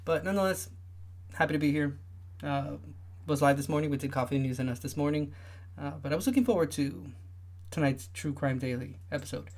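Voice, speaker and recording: A young man with a light, high voice that listeners often mistake for a woman's. He hosts a relaxed livestream, chatting loosely and filling gaps with lots of "uh"s and restarts. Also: a little fast. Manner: rambling, many filler sounds and restarts. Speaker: livestream host. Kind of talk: casual livestream chat.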